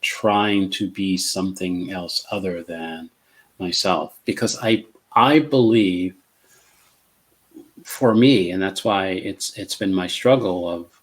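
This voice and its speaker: Calm, measured man with a low voice. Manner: measured, calm, with many fillers and restarts. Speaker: co-host. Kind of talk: casual conversation. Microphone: video call.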